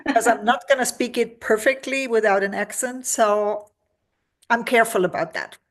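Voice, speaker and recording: A woman's voice: a little high, moderate pace. German woman, career adviser on a call. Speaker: career expert guest. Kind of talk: interview answers.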